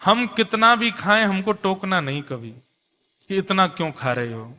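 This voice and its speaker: A man voicing God disguised as an eager, hungry little servant boy in a devotional tale, brisk and insistent. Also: high-pitched, sing-song, pushed and bright, full character voice. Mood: playfully firm. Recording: long hall reverb.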